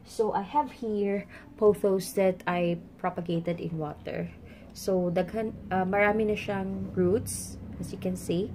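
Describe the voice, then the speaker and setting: A woman's voice: medium pitch, fairly even intonation, a little slow, warm tone, a little hiss or hum. A woman with a Filipino Visayan accent, chatting casually as she demonstrates plant care at a table, mixing English with Tagalog and Bisaya. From Philippines. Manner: chatty, mixes English with Tagalog and Bisaya, talks while working with her hands. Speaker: plant vlogger. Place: indoors at a table with potted plants.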